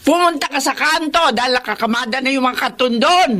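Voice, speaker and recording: Shrill, nagging old Filipino mother. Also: brisk, sharp tone. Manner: scolding, nagging, insistent. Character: quarrelsome elderly mother feigning senility. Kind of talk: Filipino radio drama acting.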